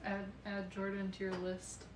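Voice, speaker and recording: A young woman acting as a stream moderator, making quick, flat asides to her mother off mic. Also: mid-range voice, roomy sound, slight background noise, rough recording. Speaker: stream moderator, host's daughter.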